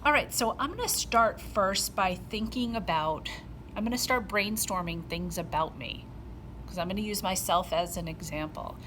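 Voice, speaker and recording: A middle-aged woman from Rhode Island, an art teacher explaining a lesson step by step in a gentle, patient way with frequent ums. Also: mid-range voice, moderate pace, a little hiss or hum, low-quality recording. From Rhode Island, USA.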